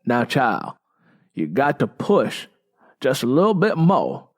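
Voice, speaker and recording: A man's voice: mid-range voice, huge pitch swings, takes it slowly, full character voice. A man voicing an older, nurturing country woman in an audiobook story, folksy and take-charge. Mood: encouraging. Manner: folksy, assured.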